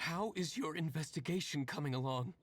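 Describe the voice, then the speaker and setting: A man's voice: a little high, animated, brisk, a bit bright, a little hiss or hum. An actor playing a bitter, sarcastic, vengeful young male villager in a video game. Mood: sardonic. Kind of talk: video game character dialogue.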